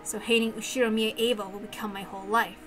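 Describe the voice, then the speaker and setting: Woman acting a bright-voiced, bitter young woman. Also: fairly high pitch, level tone, quick pace, faint music behind, lightly acted. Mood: bitter, resigned. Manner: brooding, intense. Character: bitter, weary young woman obsessed with revenge. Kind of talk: dramatic visual novel monologue.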